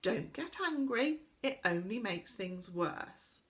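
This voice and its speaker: Woman doing a soothing gentle fairy girl. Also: medium pitch, animated, takes it slowly. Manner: soothing, hushing.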